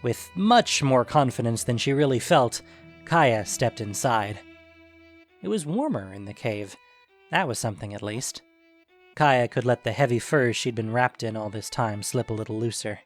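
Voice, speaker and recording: A male narrator reads a scripted fantasy story. His voice sits at an average pitch. Faint music plays behind the narration. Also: animated, moderate pace.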